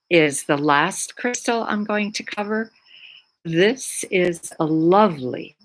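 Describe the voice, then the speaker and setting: A woman from Poland, whose first language is Polish, talking casually about her crystals as a spiritual teacher, pausing often for words. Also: low-pitched, poor audio. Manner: unhurried, searching for words with many fillers. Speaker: spiritual teacher and Reiki practitioner.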